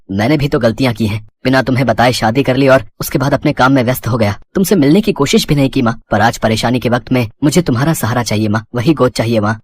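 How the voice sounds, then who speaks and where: A man's voice: mid-range voice, slightly rough, lightly acted. A man voicing a troubled, remorseful young son in a moral story, earnest and plaintive.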